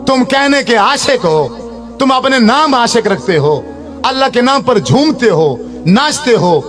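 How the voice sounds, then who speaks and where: High-pitched middle-aged man preaching. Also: lively intonation, unhurried, a bit bright, faint music behind. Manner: exhorting, repetitive, rhetorical. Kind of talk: impassioned sermon with recited prayers.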